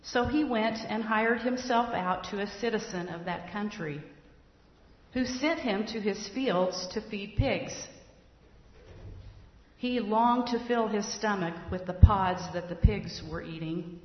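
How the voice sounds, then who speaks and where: Slow, measured woman reading scripture. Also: mid-range voice. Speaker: scripture reader. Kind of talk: reading scripture aloud in church. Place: church sanctuary. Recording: echoey hall, dull lo-fi sound.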